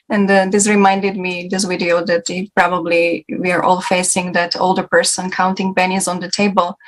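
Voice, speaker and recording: A woman hosting a discussion, speaking off the cuff in short, halting phrases. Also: lowish voice, low-quality recording. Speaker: discussion host. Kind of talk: unscripted panel moderating.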